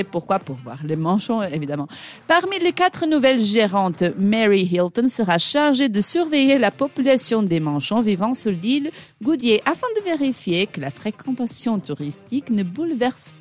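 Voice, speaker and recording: A woman whose first language is not French, giving an ironic, chatty radio chronicle with frequent hesitations. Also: on the high side. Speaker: radio show columnist.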